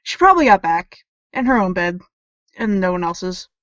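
Bright, snarky teenage tech girl played by a woman. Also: lowish voice, big pitch swings, moderate pace, no echo, muffled recording. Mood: evasive, awkward. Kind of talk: audio drama dialogue.

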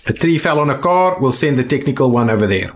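A man with a medium-pitched voice hosts a gaming video. He comments casually and haltingly, thinking aloud as he plays. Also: expressive, slight background noise. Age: adult.

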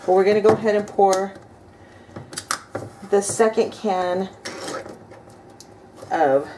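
A woman's voice: mid-range voice, takes it slowly, warm tone. Woman, 30s, home cooking vlog, chatty, upbeat, kitchen noise, clinking utensils. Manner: chatty, upbeat, thinks aloud while cooking. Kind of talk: casual cook-along narration. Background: clinking cutlery and pots.

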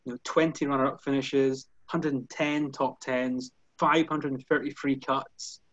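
A man's voice: medium pitch, little pitch movement, unhurried, fairly bright tone, dry recording. A man in his thirties with a Scottish accent, a golf journalist talking fluently on a video call, with lots of "you know" fillers. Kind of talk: casual video-call chat. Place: indoor room with curtains behind. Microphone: headphones on a video call. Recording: dull, dry video call audio.

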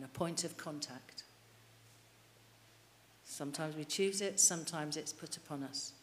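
A middle-aged woman delivers a sermon at a church lectern, her voice low and steady. She earnestly puts questions to the listeners. A long church reverb and faint hiss sit behind her. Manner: earnest, asks the congregation questions. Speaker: preacher. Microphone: lectern mic.

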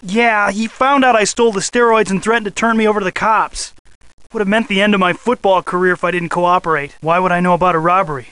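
High-voiced cocky teenage jock. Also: male voice, a bit bright, clear, resonant tone, dry recording, rough recording. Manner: boastful, swaggering. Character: cocky, aggressive teenage high school football star. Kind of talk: scripted video game voice acting.

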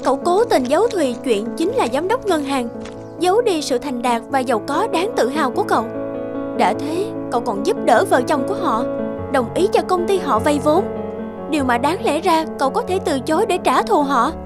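A woman's voice: sits high, expressive, normal speed. A woman voicing a blunt young friend who scolds and pushes with insistent questions in a cartoon. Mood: puzzled. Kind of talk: cartoon voice acting. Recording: music under the voice. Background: background music.

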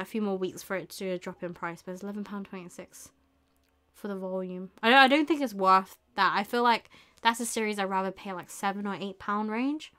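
A young woman hosting a casual live stream, thinking aloud in an unhurried way with murmured asides. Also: mid-range voice, a bit bright. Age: young adult. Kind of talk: casual chat while shopping online. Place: small indoor room with bookshelves.

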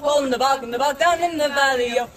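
High-voiced man chanting a scout song. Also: rapid-fire. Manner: chanting loudly, sing-song. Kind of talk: group campfire song, call and response. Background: crowd of young people singing along.

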